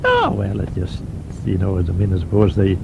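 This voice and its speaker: An older man from Donegal speaks in a mid-pitched Irish voice, mostly answering in short replies and rarely saying more than a few words. The radio sound is dull, with a steady noise behind it. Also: moderate pace, vocal fry. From Ireland. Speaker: interview guest.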